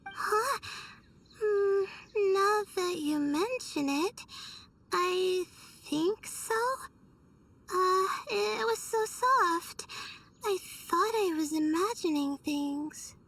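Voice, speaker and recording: A woman playing a timid, exhausted young scholar in a video game, hesitant, fragile and apologetic, with halting murmured pauses. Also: very high-pitched, a bit bright, distant mic, slight background noise, heavily acted, low-quality recording. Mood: unsure.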